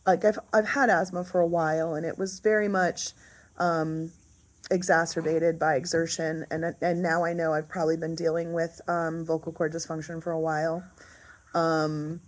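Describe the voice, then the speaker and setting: A woman talking casually in a diary-style vlog with a raspy, hoarse voice. She is unhurried and thoughtful, and often pauses to find her words. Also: sits low, little pitch movement. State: raspy, hoarse voice after illness. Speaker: vlogger.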